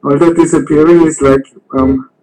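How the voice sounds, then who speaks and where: A man from Austria, calling into a live stream and speaking slowly, pausing often with ums as he searches for words in English. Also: a little high, fairly even intonation, warm tone, poor audio.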